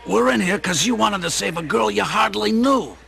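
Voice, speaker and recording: An actor playing a hot-tempered, blunt Brooklyn plumber in a radio play. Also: male voice, sits high, animated, normal speed, gravelly, pushed and bright, soft background music, exaggerated voice. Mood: irritated. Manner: blunt, exasperated. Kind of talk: audio drama acting.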